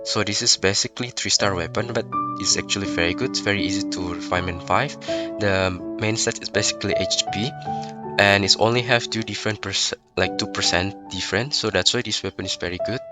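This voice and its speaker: Low-voiced sick young man explaining a game. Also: fairly quick. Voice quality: non-native English grammar. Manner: explanatory, laughs now and then. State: sick, voice affected. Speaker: game guide creator. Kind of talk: casual game guide commentary. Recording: music playing underneath.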